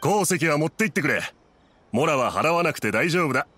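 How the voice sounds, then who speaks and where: An actor playing a kindly, easygoing middle-aged merchant in an anime game, speaking politely and expressively. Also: male voice, on the high side, expressive, fairly quick, pushed and bright, faint music behind. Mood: grateful, warm. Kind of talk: Japanese anime game voice acting.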